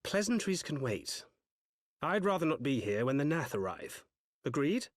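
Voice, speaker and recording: A sardonic rpg rogue type, dramatic n fancy talking, very animated, dry studio sound. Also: male voice, on the high side, moderate pace, dry recording. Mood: curt, dry. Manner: dramatic, dryly sardonic, eloquent. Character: wry, theatrical adventurer man, self-mocking and urbane. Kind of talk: fantasy video game cutscene acting.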